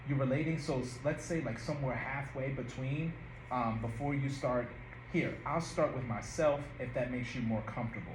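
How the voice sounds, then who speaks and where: A man talks an actor through rehearsal notes in a loose, conversational way. He restarts his sentences as he looks for the right words. The room sounds echoey, with steady noise behind him. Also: medium pitch, normal speed. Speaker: director. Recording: roomy and noisy rehearsal room.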